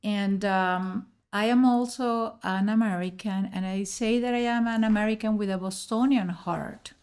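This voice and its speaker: A middle-aged woman from Venezuela with a Spanish accent, a podcast guest telling her own story in earnest, slightly halting English. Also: medium pitch, a little mellow, clean tone. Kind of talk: personal storytelling in an interview.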